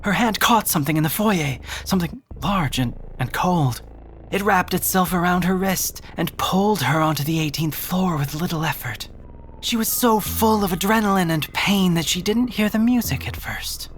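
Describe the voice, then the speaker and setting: A man narrating a scripted audio drama in a dramatic, deliberate storytelling manner. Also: sits high, fairly bright tone, slight background noise, low-quality recording.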